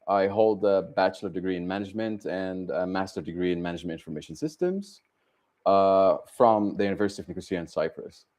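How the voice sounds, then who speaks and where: A man's voice: low voice, a little fast, darkish tone. A man in his thirties with an Egyptian accent, answering interview questions casually and hesitantly, with many ums and uhs. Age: 30s. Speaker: guest, tech startup co-founder.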